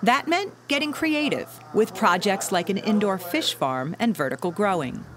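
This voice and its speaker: A woman's voice: mid-range voice, animated, normal speed, a crowd in the background. A woman narrating a television feature in an upbeat, scripted storytelling manner.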